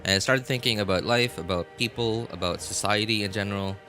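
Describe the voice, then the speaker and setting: A man livestreaming games in casual chat, talking in a halting, rambling way and often repeating himself. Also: fairly low pitch, bright, forceful tone. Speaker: live game streamer. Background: music.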